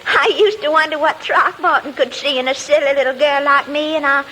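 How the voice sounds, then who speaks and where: A woman's voice: high-pitched, fairly even intonation, fairly quick, pushed and bright, poor audio. A woman playing a coy, gushing, sweetly catty Southern belle with a Southern US accent in a 1940s radio comedy. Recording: old radio recording, faint hiss.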